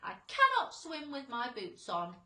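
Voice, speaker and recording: A woman voicing an excitable, blustering male centipede in a storybook, exclaiming loudly. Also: sits high, unhurried, reverberant, pitched above the performer's own voice, full character voice. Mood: panicked. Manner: shouting, exclamatory.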